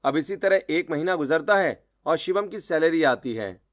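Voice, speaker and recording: A man's voice: a little high, expressive, moderate pace. A man narrating a scripted moral story in a storyteller's role.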